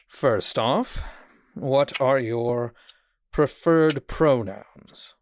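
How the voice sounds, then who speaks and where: A man's voice: mid-range voice, very slow. A man playing a condescending, falsely cheerful psychiatrist in a horror audio drama, with a smug, mock-polite manner. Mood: patronizing. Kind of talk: theatrical audio drama acting.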